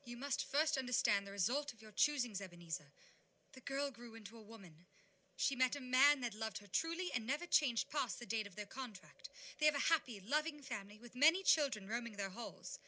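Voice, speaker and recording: A woman's voice: a little high, animated, a little fast, bright, forceful tone. A woman acting as a stern, probing female spirit in an audio drama. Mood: grave, stern. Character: stern Ghost of Christmas Past, female spirit.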